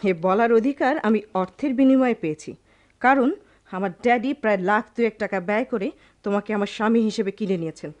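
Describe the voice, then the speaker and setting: An actress playing a haughty, discontented rich young wife with disdainful, theatrical delivery in a radio drama. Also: female voice, a little high, fast talker, a little mellow, close to an everyday voice. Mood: haughty contempt. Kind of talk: melodramatic radio drama acting.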